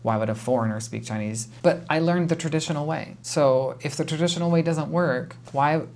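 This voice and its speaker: A man's voice: fairly high pitch, fairly quick, gravelly, darkish tone. An American man giving casual reaction commentary, talking in a rambling, conversational and often exasperated way. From United States. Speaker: YouTube host reacting to a video.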